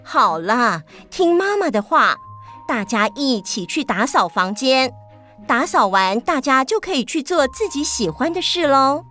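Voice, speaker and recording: A woman puts on a busy ant queen mothering a huge brood in a storybook. The voice is lifted high, coaxing and offhand, over faint music. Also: sing-song, measured pace, bright, forceful tone, exaggerated voice.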